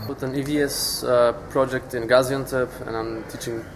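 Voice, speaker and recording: A man's voice: mid-range voice, little pitch movement, moderate pace, background noise. Young Polish-born man, casual outdoors. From Poland. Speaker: volunteer. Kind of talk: casual interview answers. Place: outdoors by a brick wall.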